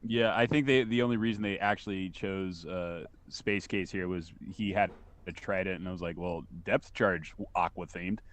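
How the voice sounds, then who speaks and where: Low-voiced enthusiastic man. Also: normal speed, a little hiss or hum. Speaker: co-host and toy collector. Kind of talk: casual enthusiast chat.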